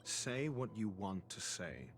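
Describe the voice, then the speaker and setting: A man's voice: lowish voice, sing-song, slow pace, soft background music, full character voice. An actor playing an arrogant, impatient male scholar in a video game, questioning with condescension and sarcasm. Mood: curtly irritated. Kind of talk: scripted video game voice acting.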